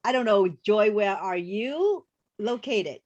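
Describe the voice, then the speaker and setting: Woman hosting a video call, halting speech. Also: mid-range voice, lively intonation, highs cut off. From refugee background. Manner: warm, halting, frequent restarts and fillers. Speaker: co-host. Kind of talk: casual live video call chat.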